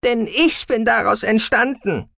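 A man's voice: high-pitched, very animated, moderate pace, vocal fry, husky, no echo, studio quality, pitched above the performer's own voice, heavily acted. A man voicing a hot-tempered, defiant adolescent girl in an audiobook, indignant and emphatic. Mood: furious, defiant. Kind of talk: dramatic audiobook character acting.

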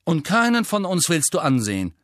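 A man's voice: fairly high pitch, huge pitch swings, normal speed, fairly bright tone, no echo, clean studio sound, pitched above the performer's own voice. A male narrator voicing a blunt, cool, matter-of-fact younger teenage girl in an audiobook. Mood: angry, blunt.

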